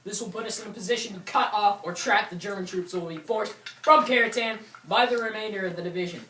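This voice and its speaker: Man acting piercing, high-voiced soldier in echoey room. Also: quick pace, sharp tone. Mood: serious, briefing. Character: earnest American airborne soldier recounting battles as comrade. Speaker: actor in a war drama. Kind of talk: theatrical war narration. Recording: echoey room from far off, noisy.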